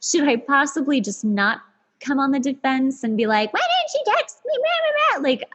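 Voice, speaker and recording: A woman's voice: high-pitched, big pitch swings, normal speed, fairly bright tone. A woman chatting casually as a podcast guest, slangy and self-mocking, often quoting her own thoughts. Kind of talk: casual chat.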